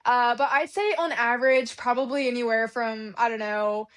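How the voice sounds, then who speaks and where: Young vet from louisiana, highish voice, easygoing and laughy in a chat. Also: female voice, steady tone, moderate pace, a bit bright. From Louisiana, USA. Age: young adult. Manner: friendly, laughs often, modest. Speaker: guest, emergency veterinarian. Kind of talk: casual interview chat.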